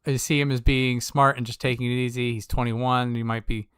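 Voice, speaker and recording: Guy co-hosting a chat show, regular pitch, laid back and jokey, dry audio. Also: level tone, fairly quick, a bit bright, smooth, no echo. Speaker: sumo talk show co-host. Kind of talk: casual chat.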